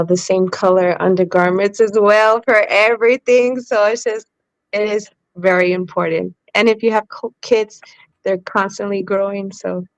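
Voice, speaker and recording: A woman's voice: mid-range voice, creaky, dry recording, rough recording. Chatty woman on a dry podcast mic. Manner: chatty, with fillers like "um" and "like". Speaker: podcast co-host. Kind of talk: casual podcast chat.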